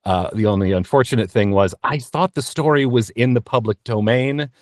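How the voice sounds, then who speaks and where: A man giving casual, conversational interview answers as a voice actor guest, often halting and correcting himself as he tells his story. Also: medium pitch, no echo, studio quality.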